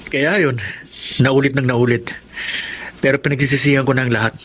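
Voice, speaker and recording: A rueful middle-aged husband in a radio drama, played by a man, talks at a medium pitch, half scolding and half sheepish. There is faint ambience in the background. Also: little pitch movement, brisk, a bit bright, slight background noise, close to an everyday voice. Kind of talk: Tagalog radio drama acting.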